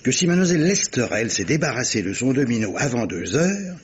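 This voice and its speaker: A man's voice: medium pitch, big pitch swings, brisk, slightly rough. A man playing a shrewd, genial army captain and amateur detective in a 1950s-style French radio drama, emphatic and coaxing. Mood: deferential, persuasive. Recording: muffled old radio recording.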